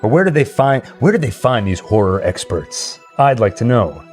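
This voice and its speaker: A man's voice: mid-range voice, sing-song, moderate pace, darkish tone, faint music behind. A man hosting a livestream, chatting casually and reading out comments. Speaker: livestream host. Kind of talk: casual chat.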